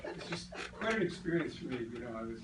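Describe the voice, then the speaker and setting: A man's voice: mid-range voice, sing-song, fast talker, heavy background noise. A man giving a casual, rambling toast at a birthday party, telling a story with hesitations. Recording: echoey room, distant mic, loud crowd.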